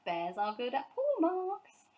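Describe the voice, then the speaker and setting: Lady doing a young storybook bear, polite n earnest, kinda high hopeful voice. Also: wildly swooping pitch, takes it slowly, warm tone, heavily acted.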